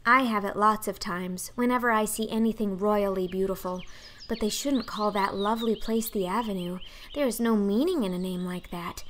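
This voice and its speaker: A woman's voice: mid-range voice, moderate pace, a little hiss or hum, lightly acted. A woman voicing a dreamy, talkative, imaginative orphan girl in an audiobook, breathless and earnest. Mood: enraptured, chatty.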